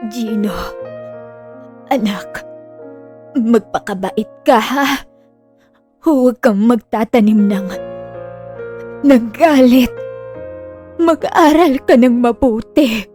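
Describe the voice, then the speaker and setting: Elderly mother acted by a woman, frail, gentle, faltering, very slow, audio drama, music behind. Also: a little high, voice pushed up. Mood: weak, tender.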